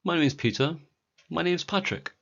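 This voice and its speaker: A British man in his forties teaching a beginner English lesson, speaking clearly and deliberately for learners. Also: on the high side, expressive, fairly bright tone, highs cut off. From Britain. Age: 40s.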